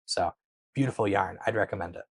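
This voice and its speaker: A man hosting a knitting channel, answering viewer questions in a relaxed, patient chat to camera, with many small pauses, recorded on a clip-on mic. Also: deep voice, vocal fry, no room sound. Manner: conversational, explains patiently with frequent um and so.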